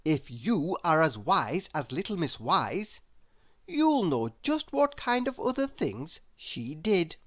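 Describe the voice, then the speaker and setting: A woman reads a children's storybook aloud at a very unhurried pace. Her voice is on the low side, with lively rises and falls through the scripted narration. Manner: measured, expressive read-aloud delivery. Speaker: storybook reader.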